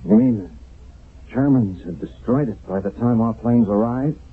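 An actor playing an earnest, rattled wartime army sergeant in a radio drama, halting, pleading and insistent. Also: male voice, medium pitch, lightly acted. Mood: bewildered, insistent. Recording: narrow, phone-like vintage radio broadcast.

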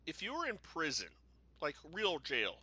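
Bright, dry-sounding rambling man. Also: fairly high pitch, wildly swooping pitch, moderate pace, slightly rough, dry recording. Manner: rambling, full of "like", trails off and restarts. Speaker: podcast co-host. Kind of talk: casual chat about a TV show.

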